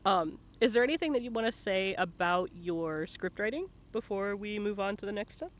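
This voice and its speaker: High-voiced man hosting a casual interview. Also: expressive, moderate pace, a bit bright. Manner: playful teasing, banter. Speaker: interviewer and show host. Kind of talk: casual live-stream interview.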